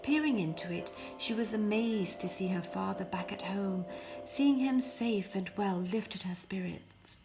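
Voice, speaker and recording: A woman narrating a scripted fairy tale as an expressive storyteller, often hushing her phrases. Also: medium pitch, a little slow, over music, rough recording. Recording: faint background noise.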